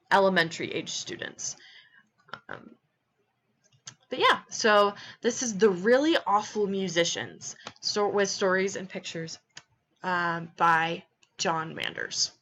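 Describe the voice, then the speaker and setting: A woman's voice: on the low side, a bit bright. A young woman, a music education student, explaining read-aloud steps in an informal presentation with many ums and restarts. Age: young adult.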